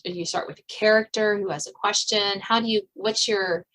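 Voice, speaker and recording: A woman hosting a casual interview chat, asking questions haltingly and rephrasing them as she goes. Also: mid-range voice, steady tone, a bit bright. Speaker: podcast host, interviewer.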